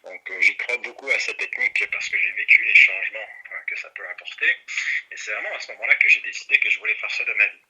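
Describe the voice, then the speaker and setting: A man's voice: fairly low pitch, fast talker, sharp tone, husky, poor audio. A middle-aged man from Montreal, a native English speaker with an English Canadian accent, explaining his work as an interview guest. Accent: English Canadian accent in French. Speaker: interview guest, neurofeedback practitioner and trainer.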